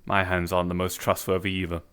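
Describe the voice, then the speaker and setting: A man playing a suave businessman in a suit, speaking in a smooth, formal and faintly sly way. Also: low voice, completely flat delivery, fast, a bit bright. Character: suave suited businessman with groomed hair. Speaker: streamer roleplaying a character. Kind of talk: improvised social-VR roleplay.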